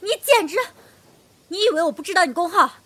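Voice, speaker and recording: A woman's voice: very high-pitched, lively intonation, fairly quick, fairly bright tone. A woman playing a pushy, irritable, haughty customer who scolds and demands in an audio drama. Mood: angry, scolding.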